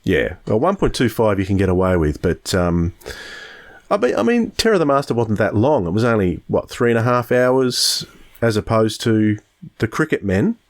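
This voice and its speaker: A man with an Australian accent and a fairly low voice. He talks casually, as a podcast host does in discussion with a co-host. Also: animated, moderate pace.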